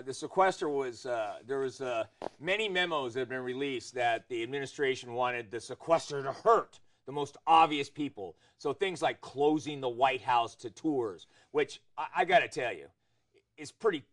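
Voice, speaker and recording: A man's voice: medium pitch, normal speed. A middle-aged American man hosting a political talk show, giving his opinions in conversation.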